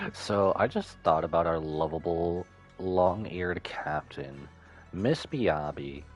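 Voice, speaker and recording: A man's voice: sits low, takes it slowly. A man giving casual, slangy, exclamatory commentary while playing through a game. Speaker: streamer. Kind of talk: casual game playthrough commentary, reading some text aloud. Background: game music.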